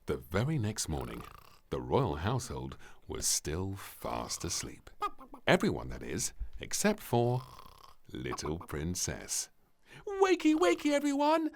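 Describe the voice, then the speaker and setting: Deep-voiced man reading a children's story. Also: huge pitch swings, takes it slowly, gravelly. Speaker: storybook narrator. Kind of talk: children's storybook read-aloud.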